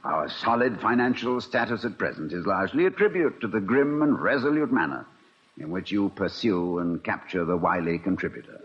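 Urbane male college president, played by an actor, lively swooping intonation, dry erudite wit, old radio comedy, muffled recording. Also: on the low side, normal speed, close to an everyday voice. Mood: measured, wry. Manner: erudite, dry, formally worded. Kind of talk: 1950s radio comedy acting.

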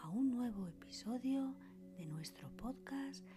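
Female meditation podcast host, soothing breathy whisper, very slow delivery, scripted sleep guidance with clear background music. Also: on the high side, animated. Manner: soothing, unhurried pauses between short phrases. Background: soft meditation music with singing bowls.

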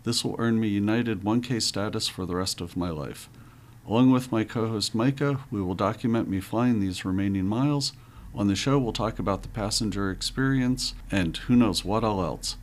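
A man hosting a travel podcast, talking in a relaxed, friendly and earnest way. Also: lowish voice, moderate pace, slight background noise. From United States. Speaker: podcast host. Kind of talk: casual podcast chat.

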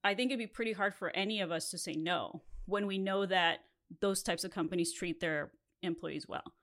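A woman in her thirties who grew up in Mexico and speaks Spanish as her first language hosts a casual podcast. Her voice sits a little low, and she tells her story candidly, slipping laughs in between phrases. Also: moderate pace, fairly bright tone. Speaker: podcast host.

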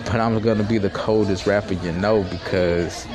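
A man in his twenties hosting a casual solo podcast from a fast-food restaurant, talking in boastful, profane street slang. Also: on the low side, fairly even intonation, music in the background. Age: 20s. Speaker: podcast host. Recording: crowded restaurant chatter behind. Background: restaurant crowd chatter.

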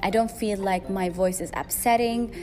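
Loud-voiced woman podcaster over music. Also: medium pitch, steady tone, normal speed. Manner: rambling, frequent fillers and restarts. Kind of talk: solo podcast monologue. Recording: music playing behind.

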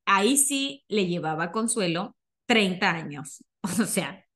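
A woman's voice: lowish voice, expressive, slow pace, a bit bright. A young woman with a Mexican accent telling stories in a casual, chatty, gossipy way as a podcast host. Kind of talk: casual conversational storytelling.

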